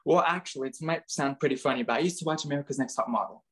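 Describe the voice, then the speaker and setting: A man's voice: a little high, racing. A young man from Bulgaria, raised in Canada, chatting casually as an interview guest and filling his talk with "like" and "um". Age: young adult. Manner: chatty, many fillers like "like" and "um". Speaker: interview guest, model and dancer. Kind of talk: casual chat.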